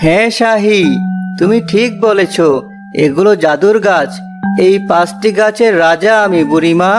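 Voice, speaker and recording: A man's voice: sits high, a little slow, over music. A man playing a kindly, solemn magical king of the tamarind trees in a cartoon folk tale. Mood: solemn, benevolent. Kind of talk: animated folk tale acting.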